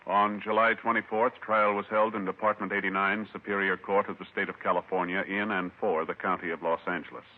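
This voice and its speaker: A man's voice: low-pitched, flat delivery, brisk, few pauses. An American man announcing formally from a script in 1950s radio style. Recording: muffled 1950s radio, loud music.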